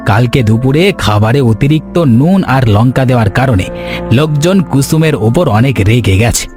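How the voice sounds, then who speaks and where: A man's voice: medium pitch, lively intonation, moderate pace, darkish tone, natural voice. A man playing a scheming, insistent village food seller in a cartoon. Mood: persuasive. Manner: insistent, persuasive. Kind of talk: cartoon voice acting. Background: background music.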